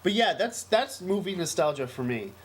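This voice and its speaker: A man's voice: sits high, very animated, normal speed. An American man co-hosting a casual podcast, talking in a rambling, emphatic, enthusiastic way. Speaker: podcast co-host. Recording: noisy amateur podcast recording.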